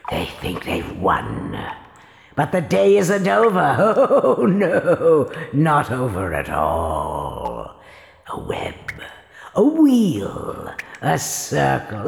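In a big echoing hall, a wicked fairy queen for a fairytale audio play. She gloats slowly and theatrically, swooping wildly from menacing hiss to cackle, with a creaky edge. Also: rumbling low, huge pitch swings, full character voice. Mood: gloating, triumphant. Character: gloating, menacing evil fairy villainess, Lady Tourmaline. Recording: roomy, long echoing reverb.

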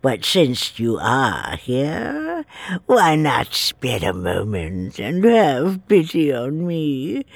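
A woman's voice: on the low side, gravelly, darkish tone, no room sound, heavily acted. An actress playing a wicked fairy disguised as a frail old woman, coaxing and sly with sinister whispers in an audio drama.

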